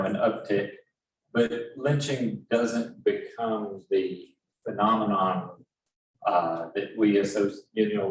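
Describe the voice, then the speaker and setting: A man explains history to an audience as a panelist. He speaks in a halting, explanatory way, picked up from a distance in an echoey room with faint noise. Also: fairly low pitch, a little mellow. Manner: explanatory, halting with many pauses. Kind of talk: informal history lecture to an audience.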